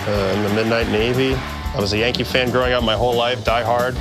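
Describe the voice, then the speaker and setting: A man's voice: medium pitch, little pitch movement, brisk, over music. A man who plays professional sport, telling a personal reminiscence in a conversational way. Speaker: professional baseball player telling his story.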